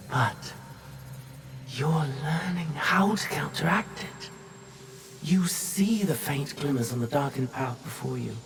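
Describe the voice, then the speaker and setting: A man playing an enigmatic, soothing guide of a memory simulation in a video game, speaking in a measured, solemn way. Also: medium pitch, noisy background, lightly acted. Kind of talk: scripted video game narration. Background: music.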